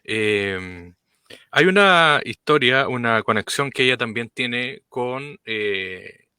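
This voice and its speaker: A man's voice: mid-range voice, lively intonation, slow pace, pushed and bright, clear, resonant tone, no echo, clean studio sound. A man hosting an interview, introducing his guest in a hesitant way with many fillers. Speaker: host. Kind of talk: interview host.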